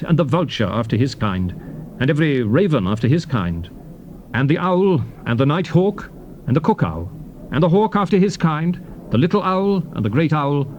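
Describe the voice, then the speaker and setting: An actor playing a solemn male lawgiver declaring commandments in a measured, theatrical dramatized King James Bible reading. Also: mid-range voice, a little mellow, close to an everyday voice. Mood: solemn, commanding. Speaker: scripture reader. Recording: dull, noisy old cassette tape recording.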